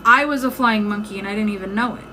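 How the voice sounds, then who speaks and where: A woman's voice: mid-range voice, fast, sharp tone. A woman giving an informal, emphatic motivational talk on a live stream, conversational and often checking in with listeners, her voice starting to give out. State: losing her voice. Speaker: live-stream host and self-help coach. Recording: clearly noisy background.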